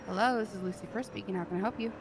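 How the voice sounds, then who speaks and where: A woman improvising casual in-character chat in a role-play game, talking in an animated, chatty way. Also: medium pitch, racing. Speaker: role-play gamer voicing a car passenger. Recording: clear car engine noise.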